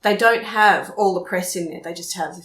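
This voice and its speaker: An Australian woman working as a photojournalist, telling stories in a chatty, lively way during a casual interview at her home. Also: mid-range voice, moderate pace, echoey room. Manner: chatty, animated storyteller. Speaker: photojournalist guest. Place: room in her house.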